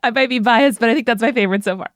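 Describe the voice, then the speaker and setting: A woman's voice: a little high, very fast, no echo, studio quality. A woman co-hosting a podcast, playful and reactive in casual chat. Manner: playful, reactive, lively interjections. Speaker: podcast co-host.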